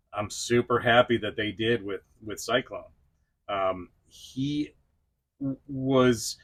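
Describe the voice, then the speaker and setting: Bright-voiced man chatting casually. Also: medium pitch, rough recording. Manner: chatty, self-deprecating, quick to chuckle. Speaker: toy review channel host. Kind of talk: casual vlog-style review to camera.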